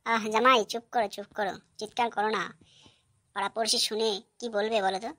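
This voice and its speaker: A woman's voice: a little high, fairly even intonation, fairly quick, a bit bright, no echo, natural voice. A woman voicing an exasperated middle-aged mother who scolds and questions in a cartoon comedy.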